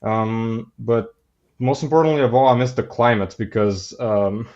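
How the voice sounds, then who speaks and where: A man's voice: mid-range voice, a little slow, a little mellow. A man calling in to a live stream, chatting casually and rambling with fillers. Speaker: caller. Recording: dull lo-fi call audio.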